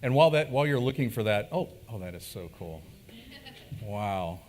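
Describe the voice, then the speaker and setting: A man with a fairly low voice co-presenting at a conference. He talks animatedly to the crowd, often restarting and repeating words mid-thought. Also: animated, normal speed, a little mellow, slight background noise. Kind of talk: conference talk answering audience questions.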